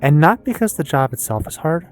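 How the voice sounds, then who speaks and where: A woman in her early twenties with an American accent talks candidly and casually in a confessional personal vlog, rambling haltingly with pauses and some swearing. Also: sits low, very animated, soft background music. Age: early 20s. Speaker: vlogger and streamer.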